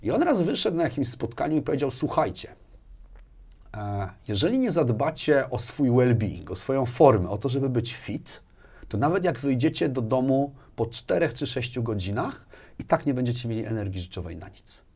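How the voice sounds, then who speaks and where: A man's voice: mid-range voice, big pitch swings, moderate pace, mellow, breathy edge. A man in his forties talking conversationally as an interview guest, telling personal anecdotes and often adding tag questions. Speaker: interview guest, business manager. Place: small furnished studio, indoors. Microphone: lapel mic.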